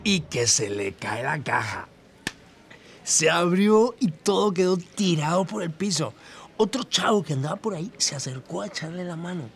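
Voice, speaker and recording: An actor playing a kindly, sentimental Mexican campus worker giving testimony in an audio drama, chatty and hesitant. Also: male voice, on the high side, expressive, normal speed, creaky, a bit bright. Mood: fond recollection. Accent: Latin American Spanish, Mexican. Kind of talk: documentary-style testimony, scripted audio drama. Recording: voice over noticeable ambient noise.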